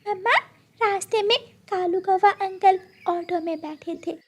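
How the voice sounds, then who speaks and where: Woman doing a squeaky little bird child. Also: measured pace. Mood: earnest. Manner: childlike, pleading. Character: small, eager, pleading bird child.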